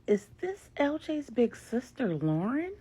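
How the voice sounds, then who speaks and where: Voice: medium pitch, sing-song, slow pace, mellow, husky, voice pushed up, heavily acted. A storyteller voicing a polite, earnest betrayed wife in a serial drama story. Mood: surprised.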